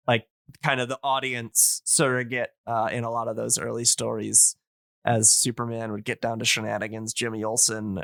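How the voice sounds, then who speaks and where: Bright, clear-voiced man rambling on a podcast. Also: mid-range voice, no room sound, clean studio sound. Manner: rambling, nerdy explaining. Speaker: podcast host. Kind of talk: casual enthusiast podcast chat.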